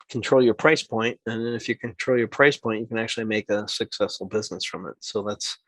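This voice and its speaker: A man's voice: mid-range voice, no room sound, poor audio. A man who makes mead, guest on a podcast, talking enthusiastically in long run-on stretches. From New Hampshire. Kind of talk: casual podcast interview.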